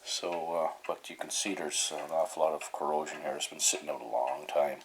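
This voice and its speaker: Really low voiced guy doing a casual repair video, stops and starts mid sentence, noisy with some clicking. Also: fairly even intonation. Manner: halting, pausing often mid-sentence. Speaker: hobbyist host of a repair video series. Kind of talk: casual hands-on demonstration.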